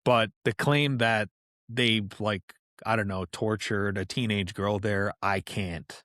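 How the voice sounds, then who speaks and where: A man's voice: on the low side, steady tone, slow, fairly bright tone, no room sound, clean studio sound. A man guesting on a podcast, telling stories in a loose, chatty way with lots of "like" and casual swearing. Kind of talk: casual storytelling chat.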